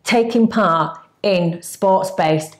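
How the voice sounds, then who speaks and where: A woman's voice: on the low side, very slow. A woman co-hosting a casual podcast, talking earnestly and giving advice. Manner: earnest, advising. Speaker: co-host.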